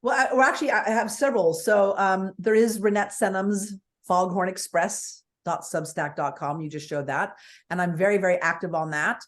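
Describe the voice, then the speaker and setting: A woman with a booming voice, a guest speaking forthrightly and with energy in an interview over a video call. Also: moderate pace. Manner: forthright, energetic, piles on points.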